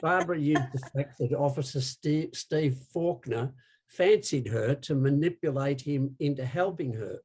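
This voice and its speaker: A man's voice: fairly high pitch, unhurried, a little mellow, slightly rough. A man hosting an interview, reading out prepared questions. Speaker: interview host.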